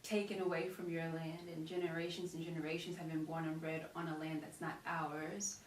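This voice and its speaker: Lower-voiced American woman, echoey distance. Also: little pitch movement, a little fast. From United States. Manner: earnest, reflective, speaks from personal experience. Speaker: guest, beauty brand founder. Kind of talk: casual conversational interview. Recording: roomy echo, distant mic, faint hiss.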